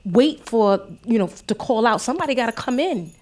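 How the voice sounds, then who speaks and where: A woman's voice: a little high, animated, fairly quick, a little mellow. A woman making spontaneous, emphatic panel remarks, often voicing other people's pleas in the first person. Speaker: panelist who works with military spouses.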